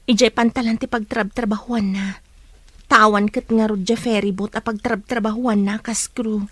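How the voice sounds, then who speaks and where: A woman's voice: mid-range voice, fairly even intonation, normal speed, fairly bright tone, dry recording, natural voice. A woman with an Ilocano accent playing a determined, suspicious young female investigator in a radio drama, questioning insistently. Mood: earnest, doubtful.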